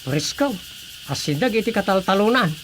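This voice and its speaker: A curious young visitor played by a woman in a family radio drama. The voice is medium in pitch, recorded over light ambient noise. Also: expressive, moderate pace, close to an everyday voice. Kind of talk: Ilocano radio drama acting.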